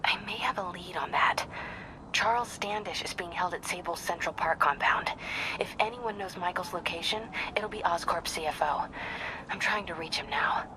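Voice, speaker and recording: An actress playing a bold young reporter on a covert video game mission, speaking in a hushed, breathy and purposeful way. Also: female voice, fairly low pitch, normal speed, background noise, natural voice. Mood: hushed, determined.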